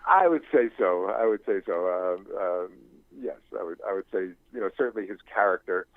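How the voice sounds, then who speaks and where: An older man speaking as an interview guest in a reflective, conversational way, haltingly searching for his words. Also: deep voice, expressive. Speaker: interview guest, novelist and screenwriting teacher. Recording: thin phone-like line.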